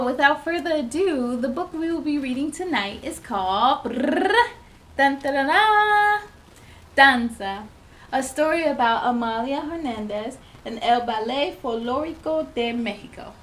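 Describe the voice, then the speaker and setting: A high-pitched woman's voice hosts a children's storytime. She reads from a picture book in an animated manner, talking straight to her young listeners. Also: slight background noise. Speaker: storytime host reading a picture book aloud. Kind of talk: children's read-aloud with asides.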